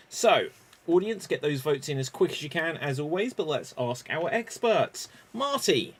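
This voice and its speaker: A man hosts an improv competition with a fairly high voice. He lays out the rules slowly and clearly, and announces each round's turn. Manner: clear, deliberate explaining of rules. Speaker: improv show host. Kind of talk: game show hosting.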